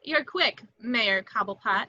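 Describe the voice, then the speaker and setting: A woman's voice: fairly high pitch, animated, slow, sharp tone, slightly rough, muffled recording, exaggerated voice. A woman playing a sly, vengeful villainess with a seductive, dry manner, in an amateur film script read. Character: sultry, vengeful, sly female cat-themed villainess.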